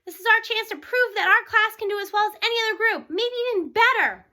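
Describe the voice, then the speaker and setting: A woman reading a first-person children's novel aloud in an animated, expressive way. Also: very high voice, quick pace, a little hiss or hum. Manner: animated, acting out dialogue. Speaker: audiobook reader.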